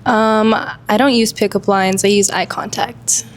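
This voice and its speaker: A woman's voice: medium pitch, steady tone, unhurried, slight background noise. A young woman on a blind-date show, confident and breezy in her answers. A faint room ambience sits under her voice.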